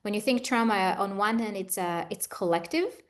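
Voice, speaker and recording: A middle-aged woman with an Israeli accent speaks as an interview guest at a medium pitch. She explains and advises conversationally, in the manner of a practised therapist. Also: normal speed. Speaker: guest expert, trauma therapist.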